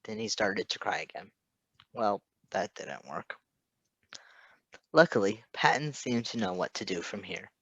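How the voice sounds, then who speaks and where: A man reading a story aloud in an expressive scripted narration style. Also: fairly low pitch, unhurried, pauses often, breathy edge, dry recording, rough recording. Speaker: podfic reader.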